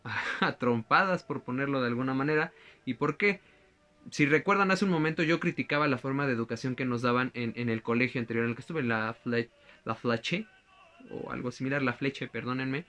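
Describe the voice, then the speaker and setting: A man's voice: mid-range voice, a bit bright, lightly acted. A young man playing a French philosopher in a school presentation, chatty and joking, often correcting himself mid-sentence. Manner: rambling, self-correcting, joking asides. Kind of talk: costumed school presentation as a historical figure.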